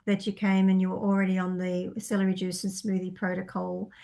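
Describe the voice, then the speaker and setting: A woman's voice: lowish voice, monotone, a little fast, creaky, dark tone. A woman giving psychic readings to viewers, reading out their questions and answering tentatively, with many fillers as she thinks aloud. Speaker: psychic reader.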